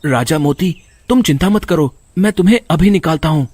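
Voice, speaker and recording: A man playing an earnest young animal lover in an animated story, speaking with urgency. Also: a little high, brisk, a little hiss or hum, close to an everyday voice. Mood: anxious, reassuring. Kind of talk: dramatic animated story acting.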